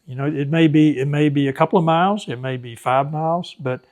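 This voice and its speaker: A man's voice: on the high side, a little fast, darkish tone. An older man giving casual, knowledgeable explanations as an interview guest, adding careful qualifiers as he goes.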